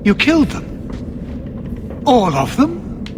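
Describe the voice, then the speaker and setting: An actor playing an anguished, overwhelmed government official in a fantasy video game, with a formal, agitated manner. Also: male voice, very high voice, wildly swooping pitch, extremely slow, background noise, exaggerated voice. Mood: shocked. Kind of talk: dramatic video game acting.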